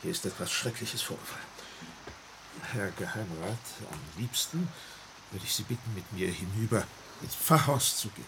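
An actor playing a grave, courteous village pastor in a German radio play, speaking formally and politely. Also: male voice, lowish voice, expressive, normal speed. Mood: grave, concerned. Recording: faint noise on old radio recording.

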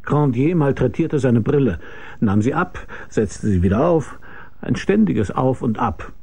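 An actor playing a cynical, world-weary investigator who narrates a radio drama in a dry, laconic, husky voice. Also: male voice, mid-range voice, animated, a little slow, warm tone, heavily acted. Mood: dry narration. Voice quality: gravelly, husky. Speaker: narrator and lead character. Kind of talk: hard-boiled radio drama narration and dialogue.

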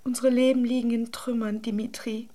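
A woman plays a fervent, despairing mistress in a radio drama. Her voice is on the high side and often slips toward a breathy half-whisper. She is intimate and full of emotion, adoring her lover. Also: level tone, brisk, dark tone, close to an everyday voice. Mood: anguished, adoring. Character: adoring, despairing woman in a secret love affair.

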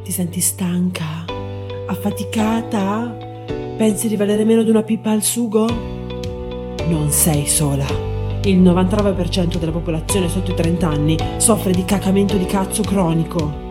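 A woman playing a solicitous, deadpan announcer in a parody radio advert. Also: on the low side, moderate pace, lightly acted. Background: loud plucked guitar music.

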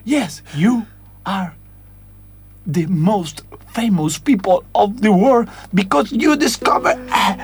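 Man putting on a slow, excitable foreign scientist. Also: high-pitched, slight background noise. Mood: amazed. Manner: exclaiming, broken simple English. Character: jolly, bumbling English-speaking foreign institute scientist. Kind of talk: improvised comic radio theatre.

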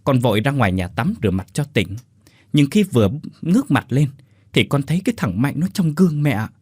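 A man's voice: mid-range voice, lively intonation, fairly quick, darkish tone, breathy edge, dry recording, studio quality. A man voicing a terrified young adult son who recounts a haunting in a shaken, pleading voice.

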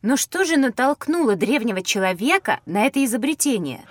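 A woman playing an inquisitive child companion in a children's educational audio drama, telling what the child sees in an expressive, descriptive way. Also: a little high, fairly quick, far from the mic, background noise.

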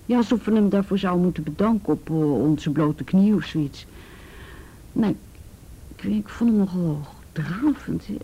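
A woman playing an ashamed, abused teenage girl in a radio drama, halting and repeating herself, often sinking to a breathy whisper. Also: fairly low pitch, mellow, highs cut off. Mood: bitter, hesitant. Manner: halting, repeats words, tag questions. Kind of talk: naturalistic radio drama acting.

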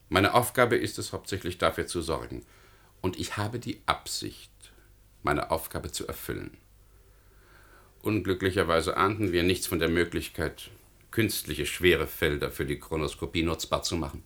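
An actor playing a cold, controlling government official in a German radio play, formal and measured in manner. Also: male voice, low voice, a bit bright. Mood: coldly authoritative.

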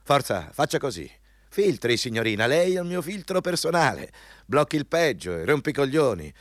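A man's voice: mid-range voice, sing-song, moderate pace. An actor in an Italian radio play playing an overbearing, condescending male company boss who hammers out his orders pompously. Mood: bossy, condescending. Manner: pompous, insistent, hammering repeated commands.

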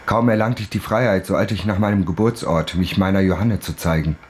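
An actor playing a proud, embittered convict who recounts his downfall in measured, formal phrases in a literary radio play. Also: low voice, fairly even intonation, a little hiss or hum, close to an everyday voice. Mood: bitter, defiant. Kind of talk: dramatic first-person monologue in a literary radio play. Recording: studio radio play with music underneath.

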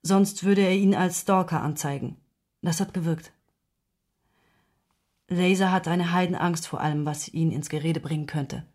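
A woman's voice: on the low side, steady tone, moderate pace, long pauses, darkish tone, natural voice. A woman playing a guarded, grieving lover who confides her secret in a radio drama. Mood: confiding.

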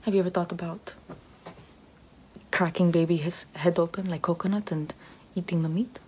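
A woman voicing a smug, egg-laying, baby-eating vampire mother in a breathy whisper with broken baby talk and lots of repetition. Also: on the low side, steady tone, normal speed, dark tone, slight background noise, natural voice, low-quality recording. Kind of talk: whispered comic roleplay.

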